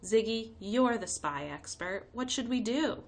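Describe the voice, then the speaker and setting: A woman's voice: a little high, animated, a little slow, voice pushed up. A woman reading a children's storybook, voicing an eager, nervous young boy who talks in casual, questioning kid slang. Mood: excited, uneasy. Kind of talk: read-aloud children's storybook.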